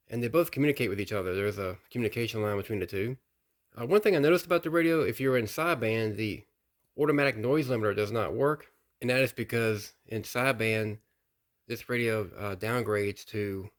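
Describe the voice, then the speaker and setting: A man hosting a casual show-and-tell about radio gear, relaxed and plain-spoken, with frequent pauses and repeated words. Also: on the low side. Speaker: radio hobbyist host.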